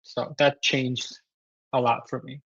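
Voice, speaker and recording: A man's voice: mid-range voice, little pitch movement, slow, a bit bright, husky, no echo. Korean-accented man, dull dry sound. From South Korea. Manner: thoughtful, many fillers and restarts. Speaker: guest composer. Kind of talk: interview answers.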